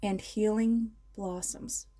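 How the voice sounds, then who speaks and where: Slow, mellow woman guiding meditation. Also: medium pitch, fairly even intonation. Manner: ceremonial, measured, soothing. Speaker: guided meditation leader. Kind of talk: slow guided meditation, ASMR.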